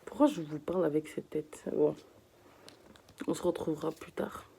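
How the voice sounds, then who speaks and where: A woman's voice: low voice, normal speed, gravelly, warm tone, slightly rough. A woman vlogging from her bed while sick and in pain, talking casually to her viewers, tired and sometimes dropping to a whisper. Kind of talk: casual vlog talk to camera. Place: at home in bed.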